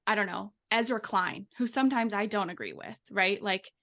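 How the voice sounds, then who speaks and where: A woman of average pitch talks casually as a podcast co-host. She reasons her way through points reflectively, often seeking agreement as she goes. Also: normal speed, sharp tone. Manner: reflective, reasoning aloud, checks with "right?".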